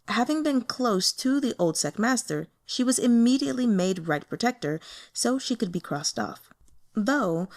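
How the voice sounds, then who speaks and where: A woman reads a translated fantasy novel aloud as a steady, expressive storyteller. Her voice sits at an ordinary middle pitch but moves up and down a great deal. The reading is measured and lively. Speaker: audiobook reader.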